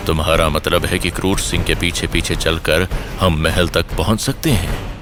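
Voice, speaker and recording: Actor as deep-voiced, level-headed warrior companion. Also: male voice, fairly even intonation, moderate pace, music in the background, natural voice. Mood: cautious, resolute. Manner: cautioning, resolute. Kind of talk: Hindi audio drama acting.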